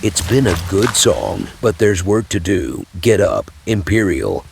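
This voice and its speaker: A man roleplays a haughty elven agent in a fantasy game. The voice is low but moves animatedly, with curt, probing phrasing. Faint music plays behind it. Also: sing-song, measured pace, creaky, exaggerated voice. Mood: curt, commanding. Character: haughty male Thalmor elf agent, disdainful of Imperials. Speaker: player narrating a roleplay playthrough.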